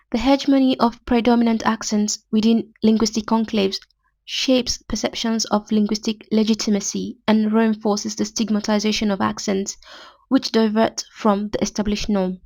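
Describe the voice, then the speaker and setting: A woman's voice: on the high side, little pitch movement, normal speed, a little mellow, no room sound, lo-fi sound. A young Nigerian woman with a Nigerian accent, hosting a podcast and reading a prepared literary essay in formal, academic wording. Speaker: podcast host.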